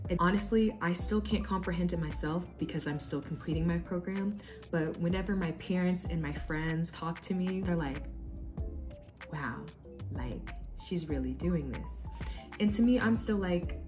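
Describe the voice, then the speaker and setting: A young woman from the United States talking earnestly and casually in a vlog, giving advice from her own experience. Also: low-pitched. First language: English. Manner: earnest, conversational, with fillers. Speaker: vlogger.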